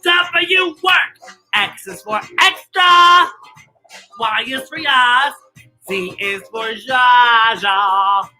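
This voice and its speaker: A woman's voice: fairly high pitch, very slow, pushed and bright, faint music behind, poor audio. A woman hosting a children's story time in a playful, theatrical, giggly manner, teaching letters. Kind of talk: playful children's show presenting with letter lessons and singing.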